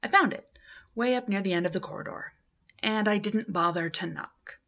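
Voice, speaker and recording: A woman's voice: medium pitch, moderate pace, a bit bright, lo-fi sound. A woman in her thirties reading a novel aloud as scripted narration, recorded at home on a webcam. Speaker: amateur audiobook reader. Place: living room at home, indoors. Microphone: webcam or laptop at arm's length.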